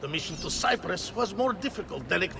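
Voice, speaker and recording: A man's voice: high-pitched, expressive, a little fast, creaky, heavy background noise. An actor playing an eager, obedient male henchman reporting to his master in a video game.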